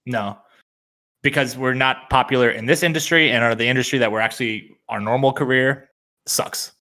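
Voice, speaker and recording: A young man co-hosts a podcast, chatting in an easygoing, relaxed way. His voice has a bright, forward edge. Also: mid-range voice, normal speed. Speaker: podcast co-host. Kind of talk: casual podcast chat.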